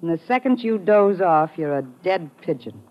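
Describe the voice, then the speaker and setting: A woman's voice: lowish voice, lively intonation, normal speed, over loud music, natural voice. Woman playing a tough, sarcastic American dame. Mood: scornful. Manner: brassy, sarcastic, curt. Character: tough, broke, cynical young American woman. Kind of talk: 1950s radio crime drama acting. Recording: muffled, noisy vintage radio recording.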